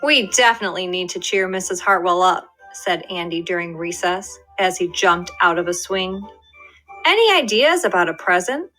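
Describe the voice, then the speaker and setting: A woman reading a children's picture book aloud in an expressive, lively storytelling way. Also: lowish voice, normal speed, faint music behind, rough recording. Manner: lively, expressive storytelling with voiced character lines. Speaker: storybook reader. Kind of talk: children's read-aloud narration.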